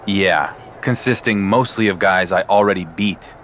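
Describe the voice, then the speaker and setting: A man's voice: deep voice, moderate pace, fairly bright tone, slight background noise. An actor playing a confident young male wrestling champion in a video game, with a defiant edge. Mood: cocky. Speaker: player character.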